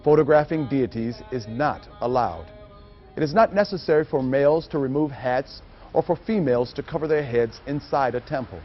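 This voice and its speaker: A man's voice: medium pitch, expressive, normal speed. A man reading scripted narration for a training film. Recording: muffled audio with music behind. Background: music.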